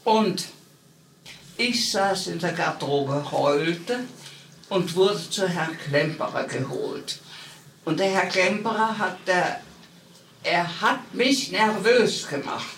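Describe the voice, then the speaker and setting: A woman's voice: fairly low pitch, takes it slowly, fairly bright tone. A woman, a retired singer telling anecdotes with dramatic emphasis in an interview. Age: 90s. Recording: roomy, distant, noisy archive interview tape.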